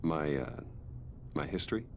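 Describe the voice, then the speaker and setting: An actor playing a guarded, courteous wealthy businessman in a video game, answering questions in a measured, defensive manner. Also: a bass voice, big pitch swings, gravelly, a little mellow, slight background noise, full character voice. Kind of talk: cinematic video game dialogue. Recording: music behind the voice.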